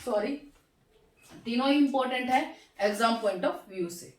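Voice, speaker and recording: A hoarse woman teaching finance in a classroom-style lecture, asking questions and answering them herself and slowing down over English terms. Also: on the high side, recorded from a distance, slight background noise. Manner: slows down to spell out English terms. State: hoarse, sore throat. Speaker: economics teacher. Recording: echoey room, voice from off mic.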